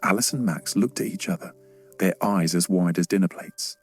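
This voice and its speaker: Lower-voiced man narrating a children's story. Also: moderate pace, faint music behind. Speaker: audiobook narrator.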